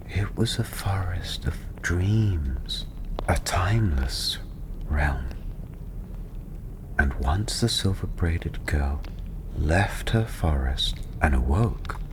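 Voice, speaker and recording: Fantasy ASMR narrator: low-pitched, slow, breathy and hushed, over clearly audible background ambience. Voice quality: soft, breathy, half-whispered. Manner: soothing, hushed, unhurried. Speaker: storyteller. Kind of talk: ASMR fantasy bedtime storytelling, scripted narration. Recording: constant ambient noise behind the voice. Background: ambience, crackling tavern fire.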